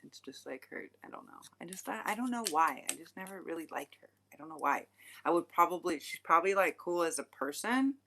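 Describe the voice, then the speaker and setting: Female tarot reader, low and bright-toned, rambling, frank, swears casually. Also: lively intonation, fairly quick, vocal fry. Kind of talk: casual livestream tarot reading.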